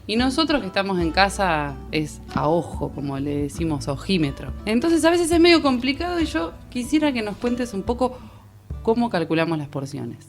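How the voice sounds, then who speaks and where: A woman's voice: medium pitch, very animated, moderate pace. A woman with an Argentine accent, chatting and asking questions as a radio host. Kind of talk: conversational interviewing. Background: faint music.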